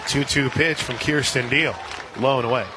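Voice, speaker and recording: A man calling softball play-by-play on the radio, dropping into casual banter between pitches. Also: fairly even intonation, normal speed. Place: outdoor softball stadium broadcast position. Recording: outdoor stadium crowd and ambient noise. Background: crowd noise.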